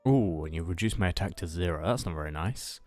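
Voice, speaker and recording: A man's voice: low-pitched, animated, fast, darkish tone, soft background music. A man playing out an improvised card-duel roleplay, announcing each move with theatrical flourish.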